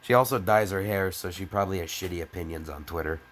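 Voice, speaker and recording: Low-voiced, sarcastic man reading with commentary. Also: quick pace. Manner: sarcastic, crude, theatrically exasperated. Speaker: comedic fan fiction reader. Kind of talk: mocking dramatic reading with exasperated ad-libbed commentary.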